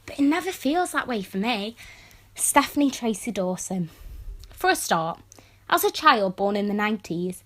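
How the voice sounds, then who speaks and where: A woman voicing an exasperated, sardonic sixteen-year-old girl in an audiobook, telling her story in a wry, emphatic, complaining way. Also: fairly high pitch. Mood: wry, weary. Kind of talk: first-person audiobook narration.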